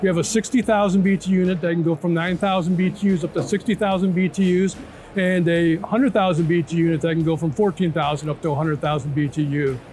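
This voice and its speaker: A man's voice: on the high side, steady tone, quick pace, a little mellow. A man in his fifties presenting a product in an interview, explaining step by step and checking in with the listener. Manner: explanatory, checks in with "right?" and "okay?". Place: large indoor trade show floor. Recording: music bed under trade show talk. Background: music.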